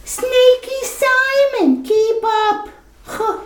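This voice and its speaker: A woman acts out a melodramatic young chorus girl in a retro radio play, using a very high voice and drawn-out, slow phrasing. She wails and uses slang in a theatrical way. The room sounds echoey. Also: recorded from a distance, heavily acted. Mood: gossipy. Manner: theatrical, sobbing and wailing, tough-talk slang. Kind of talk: amateur retro radio drama acting.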